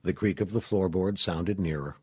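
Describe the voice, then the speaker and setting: A man narrates a suspenseful audiobook. His voice sits very low and has a heavily raspy, husky grain, captured dry with no room sound. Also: normal speed, darkish tone, no room sound. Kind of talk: scripted narration of a suspenseful story.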